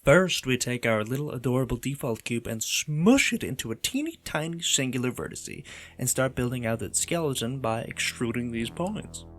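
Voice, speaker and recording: A man's voice: mid-range voice, big pitch swings, normal speed, a bit bright. A man narrating his own creative tutorial video casually, with playful, theatrical, comedic delivery. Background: background music.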